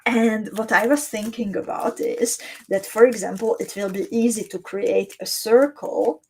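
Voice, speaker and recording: Slow, mellow woman. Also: mid-range voice, breathy edge, low-quality recording. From Hungary or Slovakia. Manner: chatty and descriptive, warmly addressing viewers by name. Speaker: host of a beading livestream. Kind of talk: live-stream chat, showing beads and reading viewer comments.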